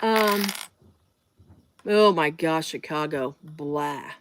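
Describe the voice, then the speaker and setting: A woman's voice: deep voice, drawn-out words, a little hiss or hum. A middle-aged woman, hosting a casual live sewing demonstration from her home sewing room and rambling into side stories with lots of fillers. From Midwestern US. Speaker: sewing tutorial host.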